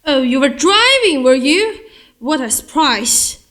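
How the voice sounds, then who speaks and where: A woman's voice: high voice, sing-song, takes it slowly, fairly bright tone, full character voice. A young female student acting a blunt, sardonic, indignant guest in an amateur stage murder mystery. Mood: sarcastic. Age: teenager.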